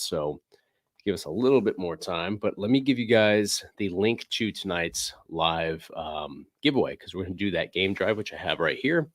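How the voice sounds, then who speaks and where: A man's voice: sits low, no echo, clean studio sound. A man hosting a casual livestream from his game room, talking loosely with lots of fillers while still getting over a cold. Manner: rambling, filler-heavy, reads chat aloud. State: recovering from a cold, sniffling. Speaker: livestream host. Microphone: desk microphone.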